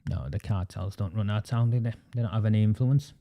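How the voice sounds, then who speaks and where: A man's voice: fairly low pitch, fast talker, dark tone. A man hosting a live online show, asking his guest blunt, rhetorical questions over a video call. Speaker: host.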